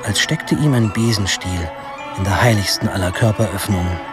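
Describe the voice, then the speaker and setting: An actor playing a wry, self-important first-person narrator in a radio drama, dry and ironic. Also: male voice, on the low side, moderate pace, music in the background, natural voice. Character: wry male narrator looking at his own youth, self-important.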